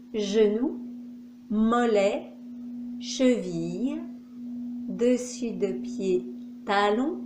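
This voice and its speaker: A woman teaching yoga nidra, giving calm, measured relaxation instructions with long pauses. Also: a little high. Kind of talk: guided relaxation meditation. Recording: roomy echo, faint background noise.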